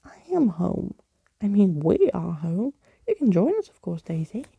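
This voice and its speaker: A woman's voice: lowish voice, very animated, a little slow, vocal fry, warm tone, slightly rough, no room sound, highs cut off, exaggerated voice. A woman voicing a theatrical, wistful yet gleeful old male skeleton in a storybook, with florid old-fashioned speech.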